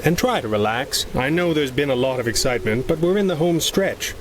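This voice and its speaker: An actor playing a smooth, dryly playful newlywed man in a 1930s-style radio adventure. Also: a little high, lively intonation, a little fast, a little hiss or hum, natural voice, rough recording. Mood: wry, playful. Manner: dry, bantering, smooth. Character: suave, playful, unruffled newlywed husband adventurer.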